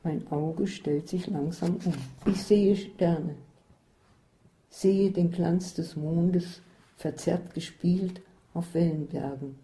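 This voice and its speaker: A woman narrating a first-person story in a measured, descriptive way. Her voice is fairly low and very mellow and dark. She reads the text aloud with care. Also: fairly even intonation, rough recording.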